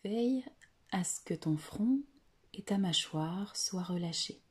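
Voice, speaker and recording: Very breathy, slow-speaking woman guiding meditation. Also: medium pitch, animated. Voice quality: soft, breathy, often near whisper. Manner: soothing, deliberate, with long pauses. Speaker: yoga nidra guide. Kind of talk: guided meditation.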